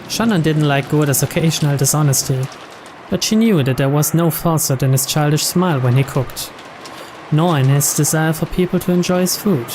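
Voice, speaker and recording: A man's voice: fairly high pitch, noisy background. A man reading visual novel narration aloud for a game playthrough. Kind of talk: scripted narration read aloud.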